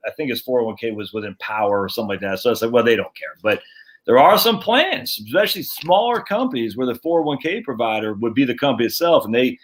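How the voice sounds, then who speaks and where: Chatty man hosting a video call. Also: mid-range voice. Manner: chatty, informal, slangy. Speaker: webinar host. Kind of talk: casual webinar chat. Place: home room, indoors.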